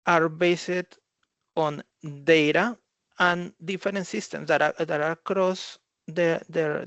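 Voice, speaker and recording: Halting male tech presenter, gravelly voice. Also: a little high, fairly bright tone, no echo. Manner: halting, repeats and rephrases phrases. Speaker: consultant presenting at a conference. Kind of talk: slide presentation talk.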